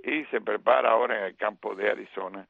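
A middle-aged or older man doing animated baseball commentary on radio. He often fills with "eh" and "¿ok?". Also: lowish voice, quick pace, vocal fry, no room sound. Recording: tinny phone-line radio sound.

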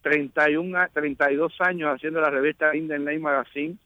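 Male radio guest, chatty, a bit high, dry studio. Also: level tone, brisk, pushed and bright, no echo, dull audio. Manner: chatty, restarts often. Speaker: magazine editor, guest. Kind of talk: casual radio interview.

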